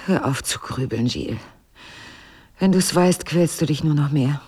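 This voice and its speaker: An actress in a naturalistic radio drama playing a guarded, weary wife who speaks in a measured, evasive, dryly sardonic way. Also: female voice, low-pitched, mellow, breathy edge. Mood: guarded, strained. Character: guarded, weary wife burdened by a painful secret.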